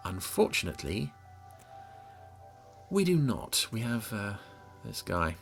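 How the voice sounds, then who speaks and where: A man's voice: fairly low pitch, very animated, slow, vocal fry, fairly bright tone. Wry man commentating, music playing behind. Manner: wry, sarcastic asides. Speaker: podcast host giving episode commentary. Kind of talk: casual running commentary over a TV episode. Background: soundtrack of the TV episode being watched.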